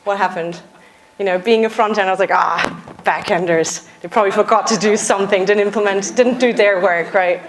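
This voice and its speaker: A young adult woman from Austria, a software engineer giving a conference talk in a casual, lightly joking way with frequent uhs. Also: mid-range voice, steady tone, fairly quick, crowd noise, rough recording. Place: conference stage.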